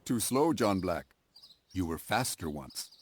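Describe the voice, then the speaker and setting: An actor playing a stern, watchful warrior in a video game, terse and deadpan. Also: male voice, deep voice, a little slow, heavily acted. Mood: scornful. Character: stern, watchful Mohawk warrior man, loyal rival.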